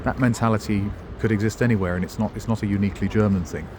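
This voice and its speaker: A man's voice: on the low side, fairly even intonation, very fast, background noise. A middle-aged man, giving a rambling walking-tour commentary with wry, self-mocking asides, outdoors in a town street. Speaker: travel vlogger.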